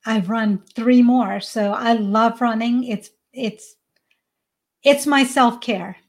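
A woman's voice: on the high side, fairly even intonation, slow. A woman in her forties with an American accent and Hindi as her first language, talking conversationally as an interview guest. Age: 40s. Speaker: podcast guest, parenting coach.

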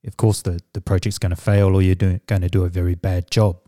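Casting: middle-aged samoan-born man, native samoan speaker, low mellow voice, dry close sound, measured and reflective. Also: little pitch movement, no room sound. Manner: measured, reflective, with fillers and restarts. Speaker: guest, business coach. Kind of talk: conversational interview answers.

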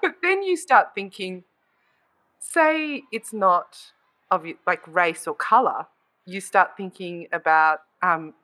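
A woman hosting a casual conversation, expressive and quick to laugh, asking her guests questions. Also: fairly low pitch. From Australia. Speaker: host. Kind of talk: casual podcast chat.

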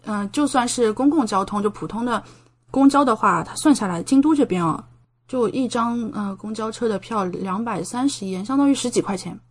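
A woman's voice: fairly high pitch, normal speed. A young adult woman from the Shanghai area of China, a podcast guest chatting casually and explaining with plenty of examples. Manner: chatty, explanatory, gives examples with acted-out dialogue. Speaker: podcast guest, doctoral student in Japan. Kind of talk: casual interview conversation.

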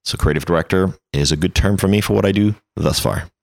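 A man's voice: low voice, quick pace, vocal fry, no echo, clean studio sound. A man, chatting as an interview guest in a wordy, self-deprecating, anecdotal way. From France. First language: French. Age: 30s. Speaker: interview guest, creative director. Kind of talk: casual conversational interview.

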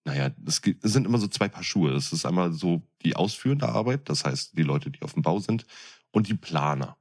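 A man in his thirties chatting casually as a podcast co-host, with a blunt, colloquial way of talking. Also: a bass voice. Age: 30s. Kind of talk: casual chat.

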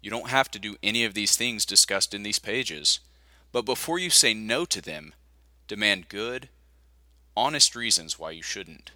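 A man's voice: fairly low pitch, lively intonation, moderate pace, pushed and bright, no room sound. A man reading a nonfiction audiobook as scripted narration, close to the microphone.

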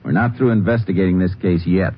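An actor playing a low-voiced, businesslike Texas Ranger. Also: male voice, fast, close to an everyday voice. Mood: steady. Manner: calm, clipped, businesslike. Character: steady Texas Ranger lawman, narrator. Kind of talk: 1950s radio drama acting and narration. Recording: old radio broadcast, tinny phone-like band with music.

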